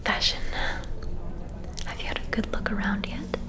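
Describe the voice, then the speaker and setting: A woman playing a vain, gossiping vampire socialite in a whispered ASMR roleplay, breathy, theatrical and disdainful. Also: moderate pace, close to an everyday voice. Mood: gleefully catty. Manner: conspiratorial, theatrical, disdainful, lingering on words.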